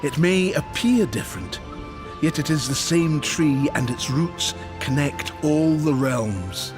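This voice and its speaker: A man acting a wise old maker in a video game, solemn and measured, speaking like an oracle. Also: a little high, expressive, music in the background. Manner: measured, oracular.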